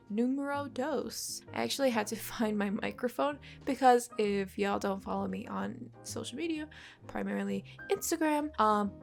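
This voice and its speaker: A woman's voice: on the high side, creaky. Congested, chatty woman in her twenties. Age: 20s. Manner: rambling and chatty, swears often. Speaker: art vlogger. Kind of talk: casual chat while sketching. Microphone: USB desk microphone.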